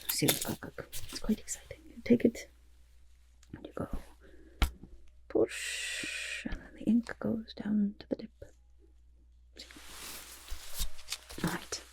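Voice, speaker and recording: A woman voicing a vain, excitable goblin artist in an ASMR roleplay, often whispering, with a gravelly creak and pompous drawn-out words. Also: fairly low pitch, exaggerated voice. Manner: third-person self-praise, pompous drawn-out words.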